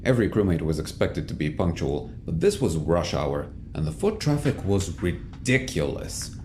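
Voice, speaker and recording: A man's voice: deep voice, very animated, moderate pace, darkish tone, a little hiss or hum. A man narrating a scripted science fiction audio drama as its storyteller. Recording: music bed under the voice.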